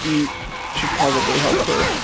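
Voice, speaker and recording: A man with a high-pitched voice talks casually as co-host of a gaming show. He mixes news with loose, informal comments on the game being played, and music is clearly audible behind him. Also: wildly swooping pitch, a little slow, poor audio. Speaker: podcast co-host.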